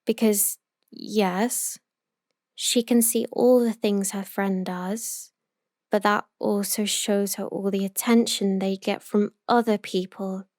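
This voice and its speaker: A woman playing an obsessive, possessive young yandere in an intimate roleplay audio monologue, telling her story with deliberate emotional intensity. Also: mid-range voice, steady tone, darkish tone, dry recording, studio quality, natural voice. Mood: hushed, brooding.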